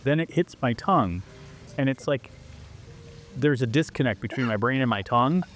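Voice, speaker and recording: Man, mid-pitched, animated guest telling stories in a casual interview. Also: normal speed, faint music behind. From Canada. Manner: animated storyteller who acts out quoted exchanges. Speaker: interview guest, foreigner living abroad.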